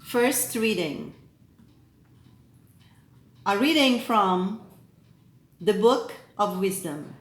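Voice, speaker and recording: A woman teaching scripture readers, reading a liturgical text slowly and deliberately, pausing at each phrase. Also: mid-range voice, lively intonation, reverberant. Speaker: lector trainer. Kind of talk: slow, measured scripture reading and pronunciation drill.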